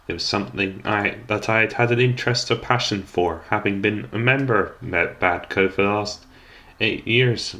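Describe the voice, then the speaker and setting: Young male narrator, slightly low voice, formal student-style scripted commentary, occasional stumbles over words. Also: a bit bright. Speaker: student documentary maker.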